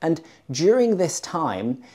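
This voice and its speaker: Upbeat English teacher man, mellow voice. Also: a little high, sing-song, slow. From Leeds, England. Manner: chatty, upbeat, lots of stressed words. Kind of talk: YouTube English lesson to camera.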